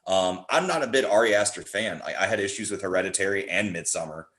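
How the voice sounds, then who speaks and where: A man's voice: low voice, fast talker, fairly bright tone. A man chatting casually about films in an opinionated, emphatic way. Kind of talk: casual film chat.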